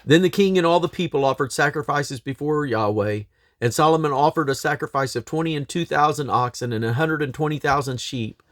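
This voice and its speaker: A man reading scripture aloud in a solemn, declamatory way, with measured phrases and deliberate pauses. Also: on the high side, a bit bright, dry recording. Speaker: Bible reader.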